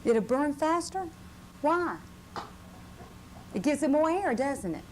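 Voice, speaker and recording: A woman's voice: high voice, animated, slow pace. Patient woman teaching children, slightly noisy tape. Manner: patient, repetitive, checking with tag questions. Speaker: fire safety presenter for children. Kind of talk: question-and-answer lesson with children. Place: live puppet show before children. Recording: noisy live room on VHS tape.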